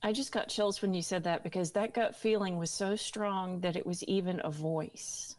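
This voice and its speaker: A woman interview guest recounting her own experience in a candid, emphatic way, with dramatic pauses on key words. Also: fairly low pitch, steady tone, darkish tone, no echo. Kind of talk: personal storytelling in an interview.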